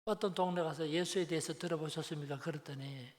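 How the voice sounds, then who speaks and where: Korean guy in his 70s preaching, busan accent, kinda high voice, big echoey church hall. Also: steady tone, a little fast. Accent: Busan Gyeongsang. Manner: colloquial, rhetorical questions to listeners, repeats phrases for emphasis. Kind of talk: Sunday afternoon sermon, conversational storytelling. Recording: long hall reverb.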